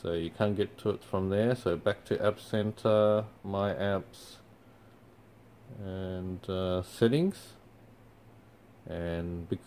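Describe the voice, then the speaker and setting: Aussie guy, lowish voice, casual tech walkthrough, lots of ums. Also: takes it slowly, pauses often, slight background noise. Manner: relaxed, many fillers. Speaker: company product presenter. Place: indoors in front of a TV screen.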